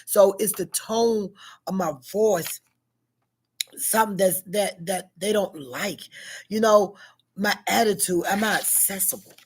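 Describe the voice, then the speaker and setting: A woman in her sixties talks in a loose, rambling vlog style, asking herself questions and stacking fillers as she goes. Her voice is deep and heavy with a husky, breathy edge, sitting at a middling pitch for a woman. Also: unhurried. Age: 60s. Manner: chatty, rambling, frequent fillers and self-questions. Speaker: vlogger. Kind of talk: casual personal vlog. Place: at school.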